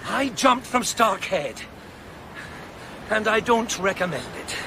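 A resolute, protective former policeman in a radio drama. His voice sits a little high and he persuades earnestly, in halting phrases. There is clear outdoor noise behind him. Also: animated, pushed and bright, exaggerated voice. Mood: confessional, earnest. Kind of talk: radio play acting.

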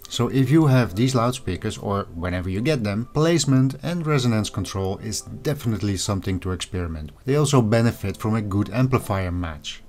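A man hosting an audio review channel, narrating a scripted product review. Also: on the low side.

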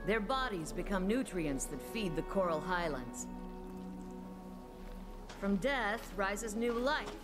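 An actress playing a seasoned, wry older woman researcher in a video game, measured and assured as a mentor. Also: on the low side. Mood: musing, reverent. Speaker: game voice actor. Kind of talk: dramatic video game cutscene acting.

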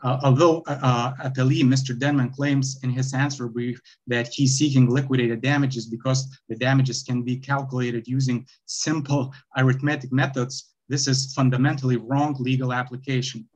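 A young man with a Lithuanian accent arguing his own appeal over a video call, halting, with many fillers and restarts. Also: medium pitch, steady tone. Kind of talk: remote oral argument before a court. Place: bright indoor room, video call. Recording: dull lo-fi video call audio.

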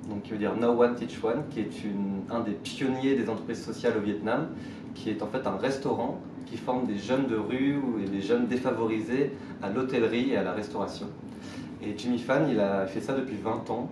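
A man in his thirties explains his views in an interview, restarting often and letting his sentences fade. His voice is fairly low. He sounds far from the mic in an echoey room with clear hiss. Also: moderate pace. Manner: fillers and restarts, trailing off softly. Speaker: mentor to social entrepreneurs. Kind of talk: conversational interview. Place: small bright indoor room.

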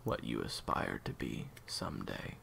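Man acting a low, gravelly, weary assassin. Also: sing-song, a little slow, pushed and bright, a little hiss or hum, full character voice. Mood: weary, resigned. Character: weary, conflicted assassin man, cold but hurting. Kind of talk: audio roleplay drama acting.

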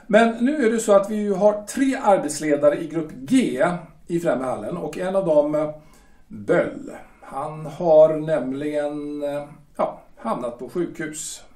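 A man acts out a polished shipyard director in an audio drama, with a fairly high, lively voice. He speaks measured and slow, with hesitant pauses that hint at a threat. The voice sounds set back from the mic in an echoey room. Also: recorded from a distance, natural voice. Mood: cool, probing. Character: smooth, veiled-threatening male shipyard director. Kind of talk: amateur audio drama acting.

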